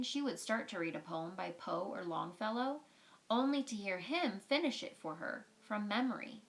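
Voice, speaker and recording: A woman's voice: medium pitch, vocal fry, a bit bright. A woman reading a storybook aloud as a storyteller, explaining things in simple, informal words.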